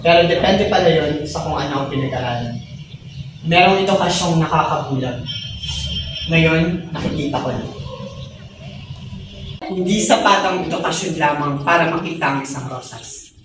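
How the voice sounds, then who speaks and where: A man's voice: on the high side, normal speed, long pauses, a bit bright, natural voice. A man playing a reflective, educated imprisoned writer in an amateur film drama. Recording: very echoey, distant, noisy.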